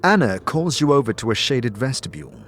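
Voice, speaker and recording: A man narrating a scripted fantasy story in a measured, dramatic way, addressing the listener as "you". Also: mid-range voice, sing-song, fairly bright tone, faint music behind.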